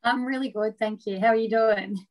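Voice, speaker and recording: An Australian woman answering as a guest in a casual interview, relaxed and rambling, filling her talk with "like". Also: fairly high pitch, brisk, poor audio. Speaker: boxer, guest.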